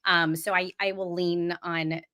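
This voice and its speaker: A woman speaking on a nonprofit panel in a warm, chatty, self-deprecating way. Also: fairly low pitch, level tone, moderate pace. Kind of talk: panel discussion.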